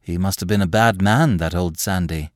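A man doing a calm, probing young woman. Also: deep voice, moderate pace, dry recording, clean studio sound, natural voice. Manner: calm, sympathetic questioning.

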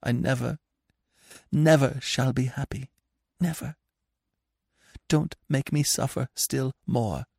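A distraught young woman, high and breathless, pleading in desperation, as a male reader puts her on. The voice swings widely as she begs, and the recording is dry and close. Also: expressive, slow pace, vocal fry, long pauses, a little mellow, breathy edge, no room sound, full character voice. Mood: tender pleading. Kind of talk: audiobook reading of a classic novel.

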